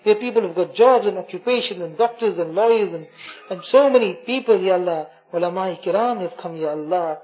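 A man's voice: high voice, brisk, darkish tone, dull audio. A man leading a congregation in an emotional supplication after night prayers in a mosque, repeating invocations in a pleading voice that moves between chanting and speaking. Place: mosque during a night gathering.

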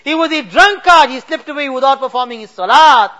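A man leading an emotional supplication, weeping and pleading, his voice swinging between loud cries and hushed murmurs. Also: very high-pitched, brisk. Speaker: imam leading a dua.

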